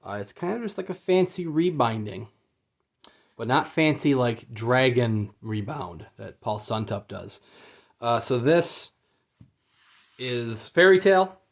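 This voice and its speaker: A man's voice: mid-range voice, expressive, takes it slowly, gravelly. A man giving a casual, unscripted unboxing review as he goes, in a hesitant, understated and mildly let-down manner.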